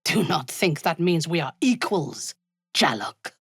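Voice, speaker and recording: A ruthless dark elf noblewoman for a fantasy video game. She is haughty and commanding, with a husky, breathy voice. Her delivery is slow and deliberate, swinging widely in pitch, and the sound is close and dry. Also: animated, no echo, heavily acted. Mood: stern, haughty. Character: imperious, ruthless dark elf noblewoman warrior, coldly devoted.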